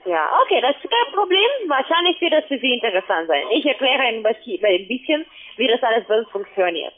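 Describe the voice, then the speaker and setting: High, lively woman on phone. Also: fast talker. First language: not German. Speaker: call centre sales agent. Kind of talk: halting sales pitch. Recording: narrow, tinny phone line.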